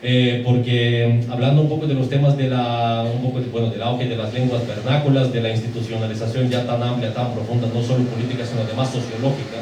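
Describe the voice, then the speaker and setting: A man's voice: medium pitch, flat delivery, fast, few pauses, warm tone. Non-native man asking from the floor. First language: not Spanish. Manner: hesitant, many fillers and restarts. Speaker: audience member asking a question. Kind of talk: formal question from the floor. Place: lecture hall. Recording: echoey hall, distant mic, background noise.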